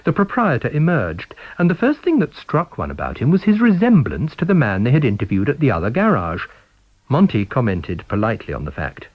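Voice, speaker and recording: Very animated British male storyteller. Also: mid-range voice, a little fast, highs cut off. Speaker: audiobook reader. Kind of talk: scripted story narration.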